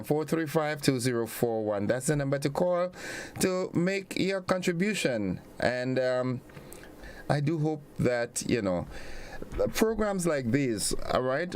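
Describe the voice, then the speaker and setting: A man hosting a morning radio call-in show, speaking in a relaxed way with brief acknowledgements as he repeats the time and phone number. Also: medium pitch, slight background noise. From Grenada. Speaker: radio talk show host. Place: radio studio.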